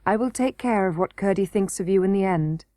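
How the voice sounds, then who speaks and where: A woman voicing a gentle, wise ancient grandmother who speaks slowly and soothingly. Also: mid-range voice, no echo, close to an everyday voice. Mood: calm. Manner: calm, slow and soothing.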